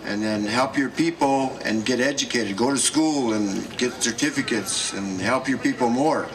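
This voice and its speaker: A man speaking at a gathering in a slow, halting way, with many pauses and fillers. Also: medium pitch, crowd noise. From South Dakota, United States. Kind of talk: halting public address. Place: outdoor gathering. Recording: distant mic with noise.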